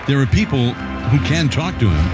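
A male play-by-play announcer calling a baseball game in a conversational, measured way. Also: over music. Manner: conversational, measured, calls pitches with rising excitement. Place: stadium broadcast booth.

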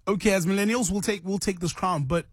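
Chatty morning radio host, 30s, slightly husky voice. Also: male voice, sits high, fast talker, no room sound, studio quality. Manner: chatty, asks listeners to call in.

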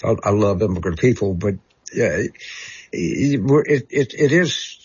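A man working as a political commentator, answering callers on a talk show in a halting way and often repeating words as he searches for them. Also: lowish voice, expressive, darkish tone, breathy edge, lo-fi sound. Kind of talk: call-in talk show guest.

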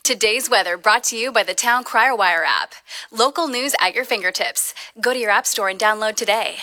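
A woman's voice: on the high side, moderate pace, no echo. A woman reading scripted promos as a radio station announcer. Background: loud music.

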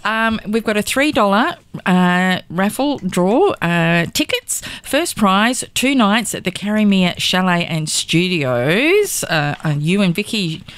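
A woman's voice: mid-range voice, sing-song, slow pace, few pauses, a bit bright, clear, resonant tone. A woman with a bubbly, upbeat manner, chatting on community radio and listing raffle prizes with little ums. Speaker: community radio volunteer and presenter. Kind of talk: casual on-air chat.

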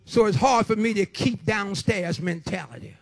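A man's voice: high voice, normal speed, vocal fry, no room sound, faint music behind. A man in his seventies preaching an impassioned sermon with emphatic, rhythmic repetition. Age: 70s.

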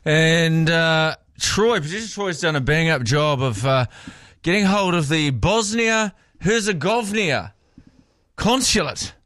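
Kiwi radio host guy, highish voice, jokey banter, dry close studio sound. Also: lively intonation, measured pace, a bit bright, no room sound. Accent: New Zealand. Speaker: radio breakfast show host. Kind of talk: casual on-air chat.

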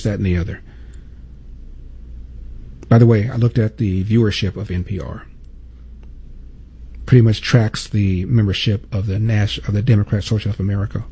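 A man's voice: lowish voice, mellow, breathy edge. A man hosting a talk show, delivering an emphatic opinion monologue full of repeated points and rhetorical questions.

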